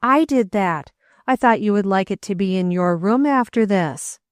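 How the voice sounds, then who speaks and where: A woman voicing a graying, plain-spoken aunt in a storybook, speaking in a measured and reluctant way. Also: mid-range voice, expressive, no room sound, studio quality, close to an everyday voice. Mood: rueful. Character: graying aunt, tactful but grim.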